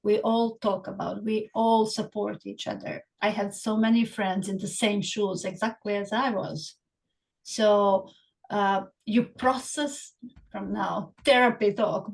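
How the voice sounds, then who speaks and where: A woman's voice: medium pitch, measured pace, gravelly, poor audio. A middle-aged woman from Bosnia raised in Serbia, speaking as a psychologist guest in an interview, thoughtful with frequent hesitations and restarts. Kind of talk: interview conversation.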